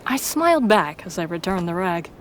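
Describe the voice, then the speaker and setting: Female story narrator, lower register, scripted first-person read, slight background noise. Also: big pitch swings, moderate pace.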